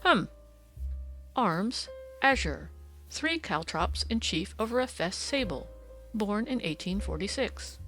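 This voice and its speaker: A woman voicing a keen, assured Victorian gentleman detective in an audiobook, formal and precise in speech. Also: mid-range voice. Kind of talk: audiobook character dialogue.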